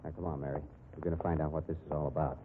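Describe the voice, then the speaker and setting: An actor playing a brash, wisecracking private detective, blunt but caring, in 1950s radio drama style on an old muffled recording. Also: male voice, a bass voice, flat delivery, racing, a little hiss or hum. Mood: urgent. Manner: slangy, blunt, needling.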